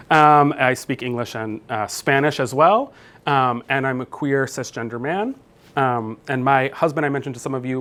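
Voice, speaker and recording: A man in his thirties gives a keynote talk in a conversational, unhurried way, full of fillers and restarts. His voice sits at an ordinary middle pitch. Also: a bit bright. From New Jersey, US. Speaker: keynote speaker, professor. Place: conference room.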